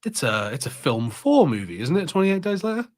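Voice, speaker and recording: A man's voice: a little high, very animated, a little fast. A man, chatting casually as a podcast co-host. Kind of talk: casual chat.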